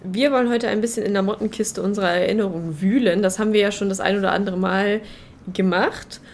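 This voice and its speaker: A woman's voice: mid-range voice, steady tone, fast, slight background noise. A young woman co-hosting a podcast in casual chat, with a joking, ironic manner. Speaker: podcast co-host.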